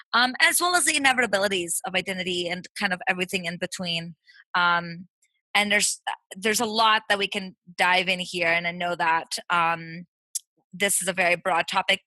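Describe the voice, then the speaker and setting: A woman's voice: lowish voice, pushed and bright, no room sound. A woman from Brazil with a Brazilian accent, co-hosting a podcast in casual, verbose, playful chat, recorded in a small closet. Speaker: podcast co-host.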